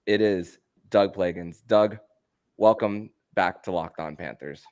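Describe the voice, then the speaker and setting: Deep voiced guy hosting a sports podcast, kinda halting, repeats himself a bit. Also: a little mellow, clean tone. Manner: halting, repeats words when asking questions. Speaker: podcast host.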